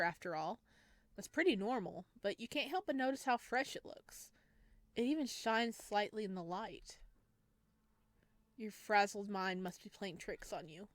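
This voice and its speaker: A woman's voice: mid-range voice, no room sound. A woman playing a horror visual novel, reading its second-person narration aloud and adding asides to her viewers. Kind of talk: reading game text aloud with commentary.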